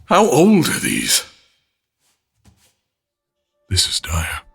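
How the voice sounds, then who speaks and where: An actor playing an anxious, self-loathing fantasy man in an audio drama, muttering to himself and often slipping into breathy whispers. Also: medium pitch, huge pitch swings, very slow, no echo, clean studio sound, exaggerated voice. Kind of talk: audio drama inner monologue. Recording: music behind the voice. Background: loud music.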